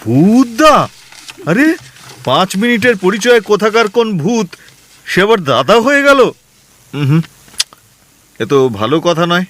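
An actor playing a nervous young husband in a radio play, who stammers and gets flustered easily. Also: male voice, high voice, very animated, measured pace, long pauses, fairly bright tone, full character voice. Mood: startled. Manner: stammering, jittery.

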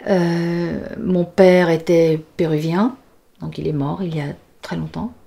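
A woman's voice: fairly low pitch, steady tone, takes it slowly, vocal fry, mellow. A sixty-year-old woman speaking reflectively in an interview, searching for words and repeating them. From born in the United States, moved often. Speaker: puppeteer. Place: furnished workshop room, indoors.

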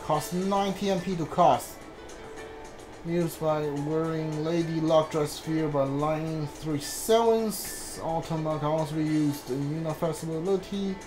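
A video game player giving casual, hesitant commentary on a playthrough, muttering and thinking aloud. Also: medium pitch. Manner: hesitant, halting, muttering to self.

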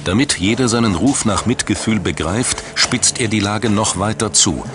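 Deep male voice doing documentary narration, measured and dramatic, clear music underneath. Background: background music.

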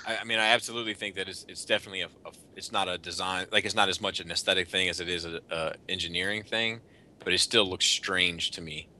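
A man's voice: low voice, fairly even intonation, quick pace, sharp tone, slight background noise. A man hosting a casual panel podcast, chatting about hobby news with some swearing. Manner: profane. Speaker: podcast host. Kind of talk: casual chat, panel discussion of toy news.

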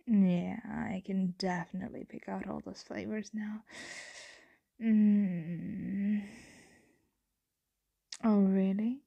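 Casting: woman to play teasing female roommate in vampire ASMR roleplay; very slow, husky, breathy half-whisper, intimate and flirtatious. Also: medium pitch, dull audio, exaggerated voice. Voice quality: soft, breathy, frequently whispering. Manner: slow, hesitant, teasing murmur.

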